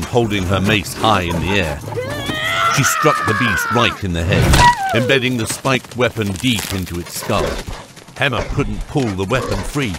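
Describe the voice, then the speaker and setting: A man's voice: mid-range voice, very noisy. A man narrating a scripted fantasy audiobook. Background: loud music.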